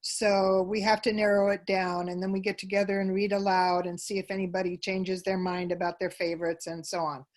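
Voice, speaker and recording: A woman's voice: mid-range voice, level tone, a little fast, few pauses. Woman explaining things in conversation. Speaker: theater troupe member, interview guest. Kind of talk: conversational interview answers.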